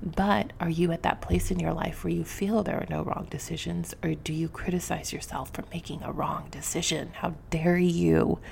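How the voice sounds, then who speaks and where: A woman hosts a solo podcast in a low, very gravelly voice that often thins toward a near-whisper. She sounds slightly sniffly and speaks candidly and reflectively, straight to the listener. There is faint rumbling noise under her close-miked voice. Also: fairly even intonation, moderate pace, few pauses, a little mellow. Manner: confessional, candid, informal with some swearing. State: sniffly from allergies. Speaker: podcast host. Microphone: close podcast microphone.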